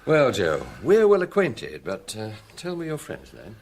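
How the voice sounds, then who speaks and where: Casting: wry, probing middle-aged detective, British accent, husky, very animated delivery, radio drama. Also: male voice, mid-range voice, exaggerated voice. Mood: sly, commanding. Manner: measured, probing, quietly wry. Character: shrewd, avuncular French police detective, middle-aged man.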